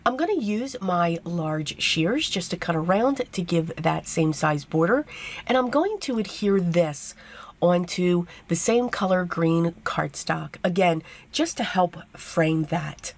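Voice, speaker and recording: A woman with a tired, hoarse voice narrating a card-making tutorial step by step, chatty and casual. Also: lowish voice, expressive, takes it slowly. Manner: chatty step-by-step explaining with fillers. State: losing her voice, hoarse. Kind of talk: voice-over craft tutorial.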